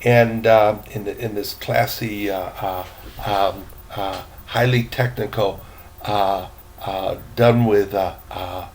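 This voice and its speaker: An older man with a gravelly, creaky voice reads a storybook like a grandfather at home. He goes very slowly and haltingly, with playful, self-mocking asides in a folksy, casual style. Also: on the low side, steady tone, a little hiss or hum. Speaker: grandfather reading a book aloud.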